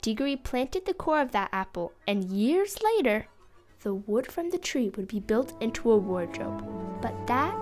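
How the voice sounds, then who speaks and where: High-voiced young female story narrator. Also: sing-song, normal speed, darkish tone, faint music behind.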